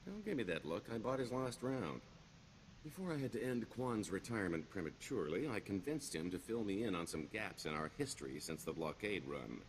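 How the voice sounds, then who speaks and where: A roguish con man from a video game. His deep voice moves freely up and down, wry and theatrical, hiding a wistful streak behind glib bravado. Clear background ambience sits behind him. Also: big pitch swings, brisk, a little hiss or hum, rough recording. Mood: sly, wry. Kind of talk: video game character dialogue.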